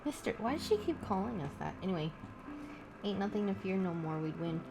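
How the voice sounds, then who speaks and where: A woman's voice: medium pitch, sing-song, fairly quick, creaky, background noise. A woman streaming a game, giving casual live reaction commentary.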